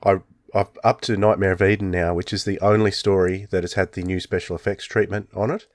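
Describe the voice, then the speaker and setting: Low-voiced male podcast host, dry close sound. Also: flat delivery, fairly quick, no echo. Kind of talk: casual enthusiastic fan chat.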